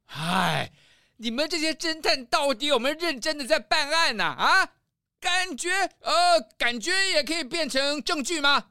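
A woman's voice: high voice, sing-song, moderate pace, pushed and bright, no room sound, clean studio sound, heavily acted. A woman playing a defensive male sailboat captain who blusters and stammers in a children's detective radio drama. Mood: indignant. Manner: indignant, stammering.

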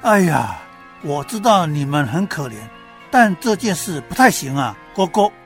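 Timid frog squad leader, polite n sorry sounding, kids radio play, soft music behind. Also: mid-range voice, big pitch swings, moderate pace. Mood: deferential, reluctant. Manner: polite, apologetic, ending lines with a croak word. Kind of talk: children's radio drama acting.

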